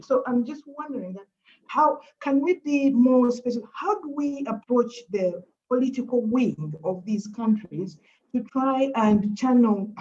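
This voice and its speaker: A woman's voice: medium pitch, dark tone. A woman, asking a panel an earnest, searching question during a webinar over a video call. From Uganda. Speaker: audience member asking a question. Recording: muffled video call audio.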